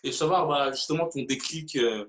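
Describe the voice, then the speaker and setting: A man's voice: a little high, moderate pace, roomy sound, a little hiss or hum. A man hosting an interview, asking questions in a hesitant way with many fillers and restarts. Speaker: YouTube channel host, interviewer. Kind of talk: casual interview.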